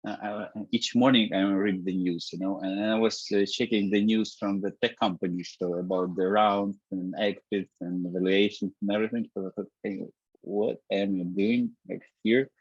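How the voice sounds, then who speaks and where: A man, a guest in an interview, talking in a halting way with many restarts and fillers. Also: fairly low pitch, fairly even intonation, darkish tone, breathy edge, dry recording, highs cut off. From Ukraine. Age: 30s. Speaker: guest, startup founder. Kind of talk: casual interview talk.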